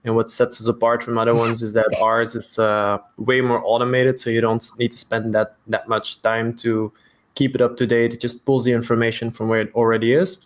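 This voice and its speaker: A man speaking as a podcast guest, explaining business in measured, somewhat hesitant phrases. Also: mid-range voice, flat delivery. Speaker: podcast guest, startup founder.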